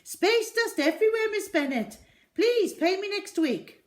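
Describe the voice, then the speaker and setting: Kindly, nervous shopkeeper man, as played by a woman, squeaky and loud when scared, storybook reading. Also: lively intonation, unhurried. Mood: panicked. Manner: frantic, pleading, high and loud. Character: kindly, jittery middle-aged male newsagent.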